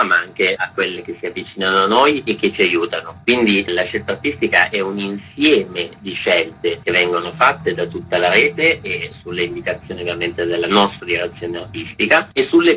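A man answering radio interview questions in a measured, explanatory way about the festival he helps organize. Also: sits low, bright, forceful tone, low-quality recording. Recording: radio broadcast.

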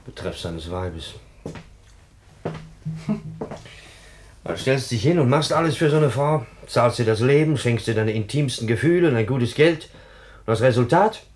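A man's voice: mid-range voice, expressive, measured pace, pauses often, darkish tone, a little hiss or hum, lightly acted. An actor playing a dejected, brooding policeman, weary and grumbling with self-pity in a radio drama. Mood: bitter self-pity. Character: dejected, self-pitying male policeman, unlucky in love.

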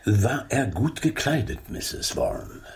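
A man's voice: mid-range voice, animated, slow, darkish tone. An actor playing a detective's attentive, agreeable male companion in a German radio drama, courteous and inquiring.

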